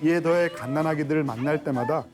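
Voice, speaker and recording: A man hosting a film recommendation show, narrating in a smooth, measured presenter style and chatting with his co-host. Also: a little high. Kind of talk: scripted narration and studio chat. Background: background music.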